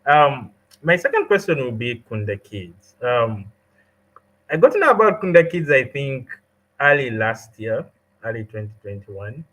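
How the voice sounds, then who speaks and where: A man asks interview questions in a bright, forceful voice of middling pitch. He is friendly but hesitant, often filling pauses, and talks over a video call. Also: very animated, rough recording. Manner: friendly, hesitant, many fillers.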